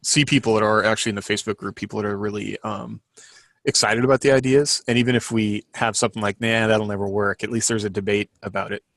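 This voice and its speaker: A man with a fairly low voice, recorded close and dry, chats as a podcast panelist. He feels his way through ideas, restating them in a thoughtful, tentative fashion. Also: steady tone, quick pace, a bit bright, dry recording. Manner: reflective, tentative, rephrases his thoughts as he goes. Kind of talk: casual group discussion.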